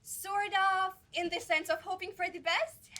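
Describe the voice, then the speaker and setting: A young woman in a school stage play, playing a vague, dreamy idealist actress who speaks slowly. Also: extremely high pitch, fairly even intonation. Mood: spacey. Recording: noisy stage recording.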